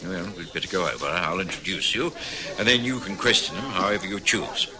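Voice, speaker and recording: An actor playing an earnest, good-natured doctor in an old radio drama, brisk and friendly. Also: male voice, low voice, crowd noise, natural voice. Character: loyal, good-natured doctor companion and narrator. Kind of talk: 1960s radio drama acting and narration.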